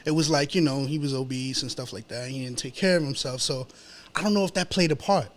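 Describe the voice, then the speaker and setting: A man with a New York accent chatting casually as an interview guest, slangy and pausing as he goes. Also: mid-range voice. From New York City, United States.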